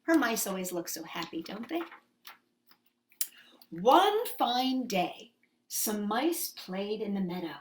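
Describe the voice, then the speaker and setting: A woman's voice: mid-range voice, sing-song, slow pace, long pauses, a little hiss or hum. A woman hosting story time for young children, reading and talking expressively and putting simple questions to her listeners. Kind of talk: story time for young children with read-aloud and sing-along songs.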